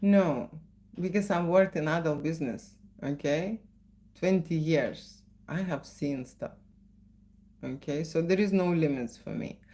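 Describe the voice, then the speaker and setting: Lower-pitched Lithuanian-accented woman. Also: measured pace, dark tone. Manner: chatty, keeps adding "okay?" and "right?". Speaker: photographer. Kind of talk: live Q&A chat.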